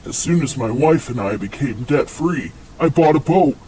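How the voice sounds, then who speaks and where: A man's voice: medium pitch, lively intonation, moderate pace, mellow, slight background noise, natural voice, poor audio. A man playing an earnest husband giving a testimonial in a radio comedy parody ad. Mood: contented, sincere. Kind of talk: scripted radio comedy sketch.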